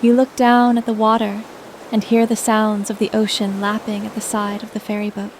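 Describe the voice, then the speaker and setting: A woman with a mellow, soft-toned voice reads a scripted sleep meditation. Her delivery is gentle and soothing, at a medium pitch. A light wash of surf-like ambience sits behind her. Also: completely flat delivery, moderate pace, a little hiss or hum, rough recording. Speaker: guided meditation narrator.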